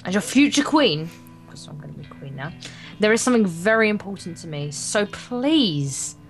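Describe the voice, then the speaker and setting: A woman reading a visual novel aloud for a gameplay video, in a playful, theatrical way with crude joking asides. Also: a little high.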